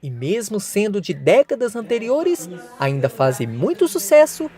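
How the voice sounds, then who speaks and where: A woman reporter reading a scripted news narration with a lively broadcast delivery. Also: medium pitch, unhurried, soft background music.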